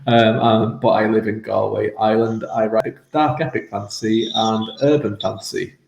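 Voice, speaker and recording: A man's voice: lowish voice, steady tone, moderate pace, vocal fry, a little mellow, dull audio. A man with a Mancunian accent, an author introducing himself hesitantly. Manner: hesitant, with fillers. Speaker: author panelist. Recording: roomy echo with faint music.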